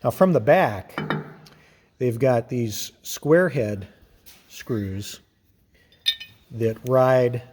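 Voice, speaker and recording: A man giving an informal, hands-on explanation of machinery in a halting, methodical way, as host of a workshop video. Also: mid-range voice, darkish tone. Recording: reverberant workshop with clear background noise.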